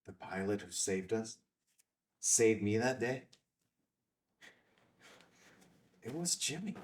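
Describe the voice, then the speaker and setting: Guarded, thoughtful male vet, read by an actor, lowish voice, a little off-mic. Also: expressive, a little slow, far from the mic. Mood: stunned, curious. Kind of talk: screenplay table read.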